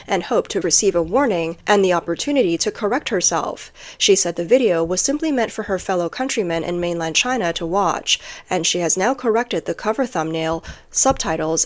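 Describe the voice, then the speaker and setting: A woman reading scripted news narration, including translated quotes, as the narrator of a report. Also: fairly low pitch.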